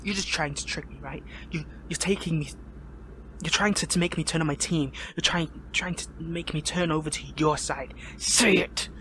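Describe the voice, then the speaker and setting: A young man playing a captured hero in an audio roleplay, earnest, conflicted and lovelorn, with stammering, pleading, breathy delivery. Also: on the high side, fairly even intonation, moderate pace, sharp tone, slight background noise. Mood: distraught, disbelieving. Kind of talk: dramatic audio roleplay acting.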